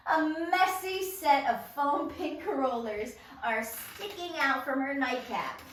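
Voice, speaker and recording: A woman reading stage directions at a table read in a lively, theatrical narrating style, acting out sound effects. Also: high-pitched, slow, a little hiss or hum. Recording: echoey room, distant mic, music behind.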